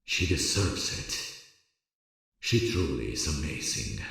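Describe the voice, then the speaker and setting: A man playing a gentle, earnest theatre director in love, in an intimate ASMR romance roleplay, speaking in a tender, confiding, hesitant way with a husky voice. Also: low-pitched, no room sound, studio quality, full character voice. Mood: awed, adoring.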